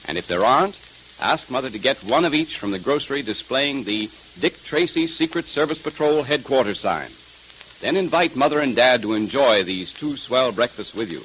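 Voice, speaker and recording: A man's voice: mid-range voice, a bit bright, rough recording. An American man reading scripted ads and story narration as an emphatic, dramatic 1930s radio announcer. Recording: hissy 1930s radio transcription.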